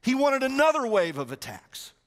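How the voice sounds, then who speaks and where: Middle-aged Southern preacher, high-pitched male voice, animated storytelling sermon on a headset, long church-hall reverb. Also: moderate pace. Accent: Southern American. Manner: animated, gesturing while pacing the stage. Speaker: pastor. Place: large church auditorium stage.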